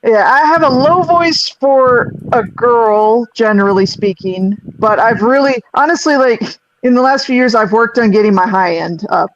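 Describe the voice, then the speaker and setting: Low-voiced woman rambling on a call. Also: moderate pace, few pauses, highs cut off, a little hiss or hum. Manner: rambling, restarts, self-deprecating laughs. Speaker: singer and sound technician. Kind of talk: casual group video-call chat.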